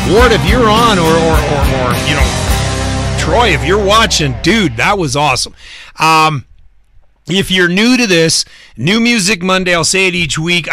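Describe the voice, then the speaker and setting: A man hosting a live radio music show, talking informally and enthusiastically in punchy, emphatic phrases. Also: fairly high pitch.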